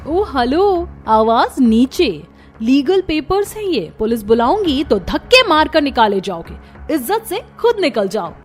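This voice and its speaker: A woman voicing a haughty, greedy young daughter-in-law in a cartoon drama, sharp and bossy, over music. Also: high voice, sing-song, measured pace. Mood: scornful. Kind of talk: Hindi cartoon story dub.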